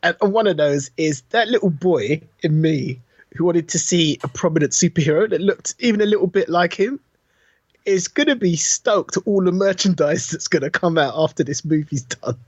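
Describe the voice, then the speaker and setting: A middle-aged man chatting casually about films as a podcast co-host, telling things in an animated, slangy way. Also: fairly high pitch, normal speed, no echo, dull audio.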